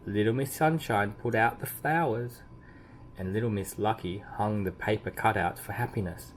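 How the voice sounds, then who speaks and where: A man reading a children's story aloud expressively, with deliberate emphasis and drawn-out key words. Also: fairly low pitch, poor audio. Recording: clearly audible background noise.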